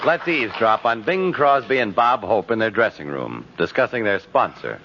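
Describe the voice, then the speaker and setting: A man's voice: lowish voice, sing-song, normal speed, noisy background. A man announcing and narrating from a script in polished 1950s radio style. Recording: muffled old radio recording with music.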